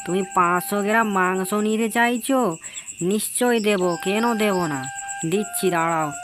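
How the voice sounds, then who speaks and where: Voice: medium pitch, normal speed, noisy background, lightly acted. An actor as an obliging village butcher. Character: obliging male village butcher, friendly and chatty. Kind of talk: animated moral story acting.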